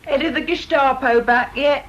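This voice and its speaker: An actress playing a cheeky, irreverent young office secretary in a radio drama, with a British accent. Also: female voice, sits high, moderate pace, close to an everyday voice. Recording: dull, slightly noisy radio play recording.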